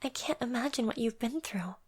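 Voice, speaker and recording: A woman playing a compassionate, wounded young servant girl in an audio drama, speaking in a soft, hushed, tender way. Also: a little high, fairly quick, slightly rough. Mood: tender, pitying. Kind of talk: amateur audio drama acting.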